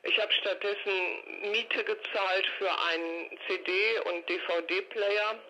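A woman's voice: mid-range voice, fairly even intonation, slow pace, bright, forceful tone, a little hiss or hum. An older woman giving a reflective interview by telephone, speaking in a hesitant, detailed, matter-of-fact way. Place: recorded over a telephone call. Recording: dull, lo-fi telephone line.

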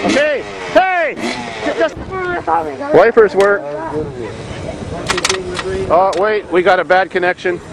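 A man's voice: sits high, sing-song, slow, pauses often, crowd noise. A man hosting a casual farm vlog outdoors among running cars, joking crudely and rambling as he shows off the vehicles. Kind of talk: casual chat. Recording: loud outdoor engine noise.

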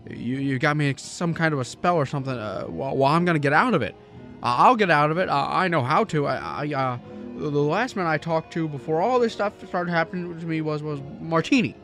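A man acting a distraught radio-drama everyman with a high, bright voice. He stammers and pleads with desperate urgency, music sounding behind him. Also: expressive, brisk. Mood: agitated disbelief.

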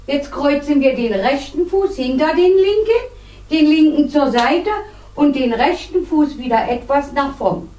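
High-voiced woman teaching dance steps, echoey room. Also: lively intonation, mellow. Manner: measured, deliberate, counts out beats. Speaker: line dance instructor. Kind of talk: step-by-step dance instruction with counting. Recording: roomy echo, distant microphone.

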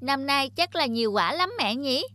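A woman voicing a cheerful young child talking fondly with a parent in an animated folktale. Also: high-pitched, moderate pace, no echo. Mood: happy, wondering.

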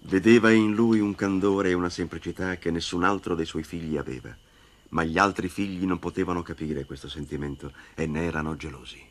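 A man giving solemn, scripted narration of a story for an animated film. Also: deep voice, fairly quick. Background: music.

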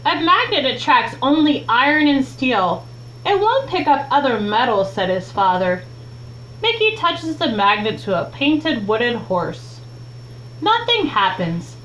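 A young adult woman reads a children's book aloud with expressive, deliberate phrasing, recorded in a small room at home on a webcam mic. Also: high-pitched. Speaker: teacher reading a children's book. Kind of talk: read-aloud storytime narration. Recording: clearly noisy, humming home recording.

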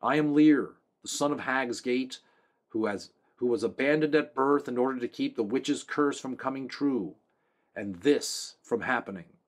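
A stern young king who declaims his words with authority, as a man reads him. Slightly raised in pitch, firm and commanding, a storybook ruler. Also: a little slow, close to an everyday voice.

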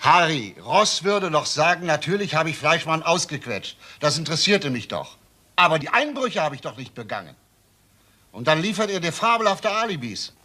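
A sly, lively-voiced police inspector. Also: male voice, fairly high pitch, heavily acted. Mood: reasoning. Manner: dry, needling, unhurried questioning. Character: sly, calm, probing police chief inspector. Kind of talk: German crime radio drama acting.

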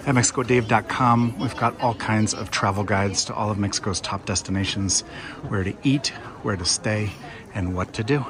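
A man narrating a relaxed walking tour of an outdoor market, speaking calmly and sparingly as a travel vlogger. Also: fairly low pitch. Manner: calm, unhurried, sparse commentary. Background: crowd chatter.

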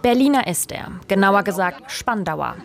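A woman's voice: medium pitch, lively intonation, moderate pace, vocal fry. A woman reading a scripted voice-over for a television news report. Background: music.

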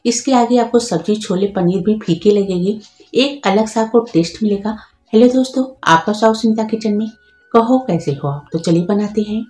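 A woman hosts a home cooking tutorial, walking through each step patiently and adding practical tips. Her voice is mellow and unhurried. It sits in an echoey room with faint music underneath. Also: mid-range voice. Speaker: cooking channel host.

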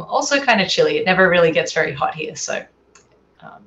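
An Australian woman in her thirties with a notably low voice speaks easygoingly, with light hesitations. She is heard over a video call from her home, with faint background noise behind her. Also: fairly bright tone. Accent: Australian with American tinge. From Adelaide, Australia. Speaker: sleep researcher guest. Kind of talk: casual interview chat. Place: home room.

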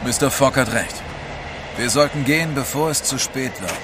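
A man's voice: mid-range voice, moderate pace, natural voice. An actor playing a sober, cautious expedition man, terse and serious, in an audio drama. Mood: alert. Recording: clear outdoor background noise.

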